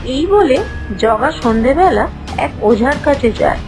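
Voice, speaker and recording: A woman's voice: high-pitched, animated, measured pace, music in the background. A woman narrator reading a scripted cartoon story in a storytelling style.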